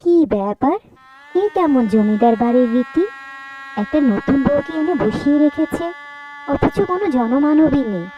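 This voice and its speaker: A woman's voice: sits high, lively intonation, moderate pace, muffled recording, soft background music. A woman playing a kind, outspoken young daughter in a cartoon, speaking earnestly and plaintively. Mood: puzzled. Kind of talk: Bengali moral-story cartoon dub.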